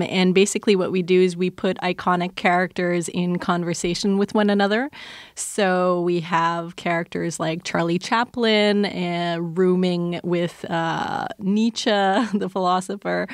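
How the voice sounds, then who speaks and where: Flat-toned woman as a podcast guest. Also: lowish voice, dry recording, studio quality. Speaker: interview guest, podcast producer. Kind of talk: casual interview explanation.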